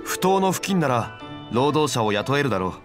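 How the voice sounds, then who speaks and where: A man playing a composed, scholarly gentleman in an anime game, speaking formally and with measured dignity. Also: medium pitch, very animated, over music, close to an everyday voice. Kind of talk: anime game character acting.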